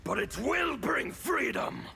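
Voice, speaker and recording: Gravelly, ranting anarchist villain. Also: male voice, extremely high pitch, big pitch swings, slow pace, bright, forceful tone, a little hiss or hum, heavily acted. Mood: fervent defiance. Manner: theatrical, ranting, menacing. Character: zealous disfigured male anarchist revolutionary villain. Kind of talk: video game villain dialogue.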